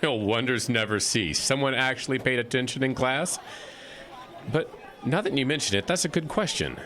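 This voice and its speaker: A man's voice: medium pitch, normal speed, fairly bright tone, slight background noise, natural voice. A man playing a wry, easygoing science teacher in an audio drama, explaining things with hesitant starts. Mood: wry, explanatory.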